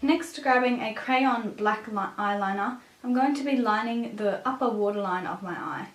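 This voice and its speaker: Raspy, higher-pitched woman in an echoey room. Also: normal speed, faint music behind. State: mildly sick with a cough. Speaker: beauty vlogger. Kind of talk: scripted makeup tutorial narration. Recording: roomy echo, distant microphone.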